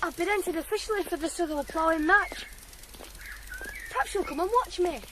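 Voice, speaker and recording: A woman's voice: extremely high pitch, a little fast, background noise. A woman playing an eager, shy teenage farm boy in a radio drama, hesitant and earnest. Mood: hopeful. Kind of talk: 1980s British radio drama acting.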